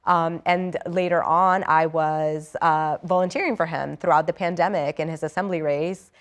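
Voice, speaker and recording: Newly elected lawmaker, a young woman from Ecuador; low-set voice, earnest and eager, lots of fillers and restarts. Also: fairly even intonation, moderate pace. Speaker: state assemblymember, interview guest. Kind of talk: live TV news interview.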